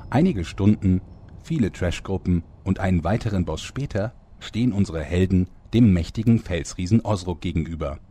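A man's voice: deep voice, lively intonation, moderate pace, mellow, breathy edge. A man narrating a parody fantasy audio drama in a dry, composed, scripted style.

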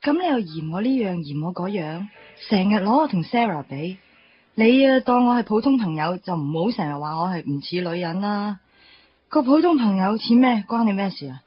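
An outspoken young woman played by an actress in a Hong Kong Cantonese radio drama. She speaks at a middling pitch, chatty and ready to chide. The sound is slightly muffled, with faint music underneath. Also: lively intonation, normal speed, lightly acted. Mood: indignant, chiding. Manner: chatty, frank, chiding. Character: outspoken, playful young woman, old first love.

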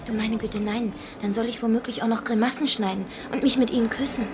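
A woman's voice: fairly high pitch, fairly even intonation, fast, background noise, natural voice. An actress playing a prim, proper woman in a German radio drama, speaking in a formal, reserved way. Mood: demurring. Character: prim, proper woman recalling her past acquaintance. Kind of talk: first-person recollection in German radio drama.

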